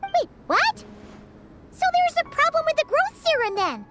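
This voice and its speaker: A woman playing a tiny, childlike fairy companion in a video game, with a squeaky voice and an eager, inquisitive manner. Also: very animated, unhurried, heavy background noise, exaggerated voice. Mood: puzzled.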